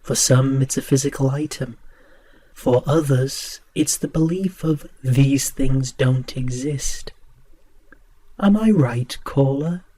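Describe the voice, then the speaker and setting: A man playing a courteous, ominous host of a spooky radio drama, speaking in formal, theatrical phrases with a husky voice. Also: mid-range voice, slow, warm tone. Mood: brooding. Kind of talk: horror radio drama hosting.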